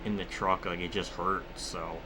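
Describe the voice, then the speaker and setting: A man's voice: low voice, level tone, moderate pace, background noise. A man giving casual, rambling gameplay commentary mixed with personal storytelling, conversational and free with swearing. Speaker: gaming video creator.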